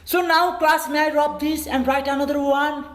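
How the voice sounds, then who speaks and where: A man's voice: very high-pitched, little pitch movement, normal speed, a bit bright, reverberant. A man with a Nepali accent teaching English in an online class, spelling words out letter by letter and checking again and again that students follow. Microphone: video call.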